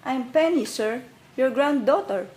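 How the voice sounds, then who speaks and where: Woman playing a high-voiced, curious young girl. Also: a little slow, darkish tone. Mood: polite. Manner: questioning, respectful. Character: curious, polite young granddaughter. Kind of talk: radio play acting.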